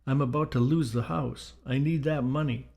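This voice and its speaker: A man's voice: medium pitch, steady tone, moderate pace, gravelly, darkish tone, lightly acted. A man voicing an impatient, money-hungry widow in an audiobook, in a demanding, pushy way. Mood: insistent.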